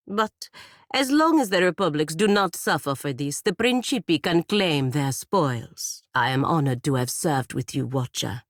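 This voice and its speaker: Proud fantasy warrior woman, stern patriot. Low, dry-recorded voice, measured and formal game dialogue. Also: no room sound. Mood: formal, reserved. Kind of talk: scripted video game character dialogue.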